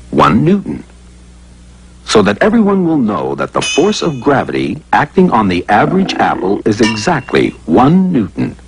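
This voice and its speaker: Animated male narrator with sweeping pitch. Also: slight background noise, rough recording. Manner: playful, conversational, rhetorical questions. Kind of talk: scripted educational cartoon narration.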